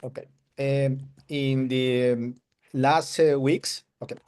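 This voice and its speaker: A man from Colombia whose first language is Spanish, with a Colombian Spanish accent, giving a halting testimonial in broken English over a video call. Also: medium pitch, steady tone, a little mellow, clean tone. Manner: hesitant broken English, searching for words. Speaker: webinar attendee giving a testimonial.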